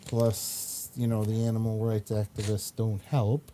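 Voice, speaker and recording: A man's voice: on the low side, flat delivery, a little slow, mellow, slight background noise. A man hosting a casual talk show, chatting about entertainment in a hesitant, rambling way. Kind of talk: casual chat, reviewing entertainment.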